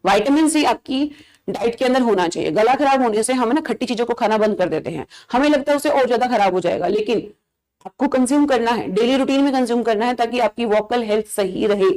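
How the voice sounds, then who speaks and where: A woman's voice: on the high side, lively intonation, a little fast, a little mellow. A woman with an Indian accent speaking as a biology teacher, giving explanatory, emphatic advice and switching into English phrases. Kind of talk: informal educational advice talk to fellow teachers.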